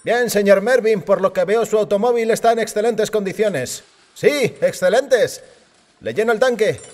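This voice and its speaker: A man's voice: high voice, moderate pace, a little mellow, voice pushed up, close to an everyday voice. A man voicing a burly Chicano car mechanic in an audiobook, respectful, obliging and businesslike. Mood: obliging, eager. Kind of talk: audiobook character voice.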